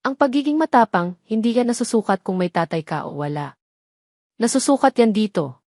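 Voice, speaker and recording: A woman voicing a serious, protective male police officer with a firm, measured manner in an audio drama. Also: on the high side, lively intonation, darkish tone, no echo, clean studio sound. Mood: gentle, encouraging.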